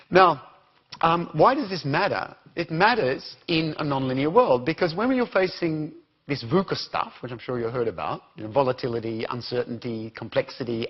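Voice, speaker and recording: A man's voice: medium pitch, animated, normal speed, slightly rough, muffled recording. A man with an Australian accent giving an interactive conference talk, questioning the audience as he goes. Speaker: conference speaker.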